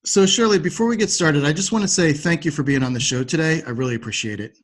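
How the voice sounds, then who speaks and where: A man's voice: fairly high pitch, fast. A man, politely hosting a podcast interview. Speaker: podcast host.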